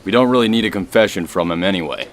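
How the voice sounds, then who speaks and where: A man's voice: deep voice, fast talker, close to an everyday voice. A man playing an easygoing, businesslike FBI colleague in a scripted radio drama. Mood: casual, approving.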